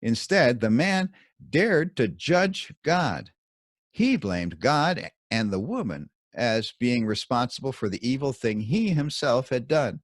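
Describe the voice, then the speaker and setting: A man reading a scripted Bible commentary as its author, with deliberate, emphatic phrasing and dramatic pauses. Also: medium pitch, expressive, no room sound, clean studio sound.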